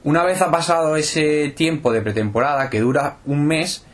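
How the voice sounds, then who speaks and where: A man's voice: a little high, normal speed. Young man with a southern Spanish lilt. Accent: Andalusian-tinged Spanish. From Spain. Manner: steady, explanatory, with frequent hesitation fillers. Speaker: interviewed footballer. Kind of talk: casual interview answers.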